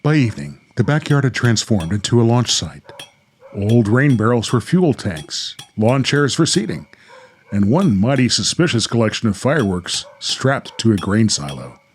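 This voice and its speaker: A man with a mid-range voice serves as host and narrator. His delivery is theatrical and animated, like scripted storytelling with a dramatic lift. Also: medium pitch, normal speed, slight background noise.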